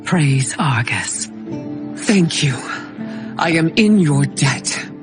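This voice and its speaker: A stern royal ruler, proud and formal, swooping expressive voice, loud music behind, game cutscene. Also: male voice, fairly high pitch, very slow. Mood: grateful. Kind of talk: dramatic video game cutscene acting. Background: loud cinematic music.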